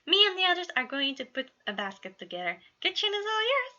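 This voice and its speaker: An eager, fatherly storybook man acted out by a woman speaks in a high, bright voice. He is perky and excitable, fussing cheerfully over his household. The recording is a little dull and lo-fi. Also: a little fast, full character voice. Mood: cheery.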